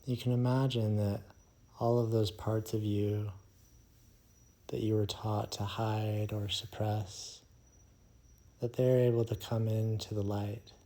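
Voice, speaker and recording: A man leading a guided meditation in a soothing, hushed manner, leaving long pauses between phrases. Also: fairly low pitch, flat delivery.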